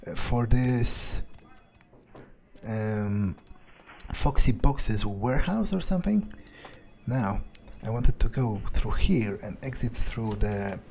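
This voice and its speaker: A wary vampire played by a man mutters his thoughts in a video game roleplay. He speaks slowly and haltingly, musing to himself in a relaxed, mellow tone, with faint noise and game ambience behind him. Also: medium pitch. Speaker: gameplay streamer playing a character. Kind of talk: in-character roleplay commentary, thinking aloud.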